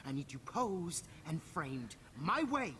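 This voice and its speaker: An actor playing a menacing, condescending middle-aged teacher turned kidnapper in a video game, creepy and given to flaring rage. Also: male voice, a little high, very animated, takes it slowly, fairly bright tone, exaggerated voice. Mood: smug, sinister. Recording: noisy game capture.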